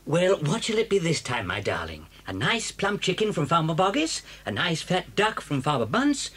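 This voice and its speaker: A man's voice: a little high, wildly swooping pitch, normal speed, a bit bright, slightly rough, exaggerated voice. An actor playing a cocky, doting father fox in a jaunty, self-assured and theatrical storybook dramatisation. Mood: smooth, eager.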